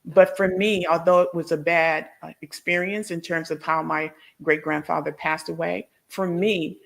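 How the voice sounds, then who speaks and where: A woman's voice: lowish voice, steady tone, moderate pace, creaky, fairly bright tone. A woman hosting a conversational interview, sharing personal stories and putting questions to her guest. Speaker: show host interviewer.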